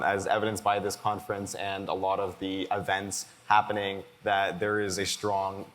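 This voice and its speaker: Young male moderator in a reverberant hall. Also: lowish voice. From Boston area. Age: 20s. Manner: hesitant, many fillers and restarts. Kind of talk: moderating a conference panel. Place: conference hall.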